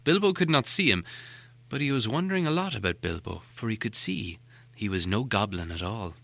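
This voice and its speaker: A man reading a storybook aloud as an expressive audiobook narrator. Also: on the low side, brisk, vocal fry, dry recording.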